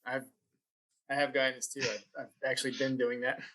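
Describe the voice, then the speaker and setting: A man's voice: mid-range voice, flat delivery, normal speed, heavy background noise. A man chatting casually and jokingly as a player in a tabletop role-playing game.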